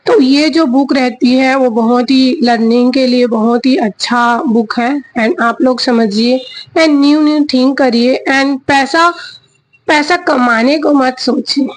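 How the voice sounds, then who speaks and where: A woman's voice: high-pitched, slow pace, low-quality recording. A woman talking casually as a vlogger, explaining a book's lessons with frequent restarts and fillers, mixing in English words and asking her viewers rhetorical questions. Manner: asks the audience rhetorical questions.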